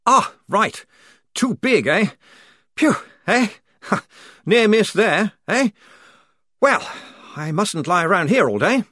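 A man's voice: high voice, big pitch swings, slow pace, long pauses, bright, forceful tone, no echo, studio quality, pitched above the performer's own voice, heavily acted. A man voicing a fussy, nervous young army lieutenant who stammers and frets politely. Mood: flustered.

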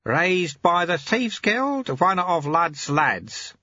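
A man's voice: high-pitched, sing-song, no room sound, highs cut off, voice pushed up, full character voice. A man doing an amiable old monk sweeper. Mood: amused. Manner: gently teasing, unhurried.

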